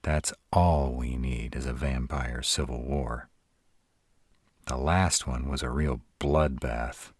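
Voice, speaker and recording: Man acting a very deep, husky were-dragon. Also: fairly even intonation, slow, no room sound, clean studio sound, heavily acted. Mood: grave, explaining. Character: gruff, protective male were-dragon, caring, dryly sardonic. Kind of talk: roleplay audio drama, intimate one-sided conversation.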